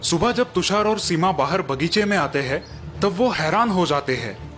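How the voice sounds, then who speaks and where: A man's voice: sits high, animated, normal speed, fairly bright tone, slight background noise. Man narrating a bedtime story. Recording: music bed behind narration.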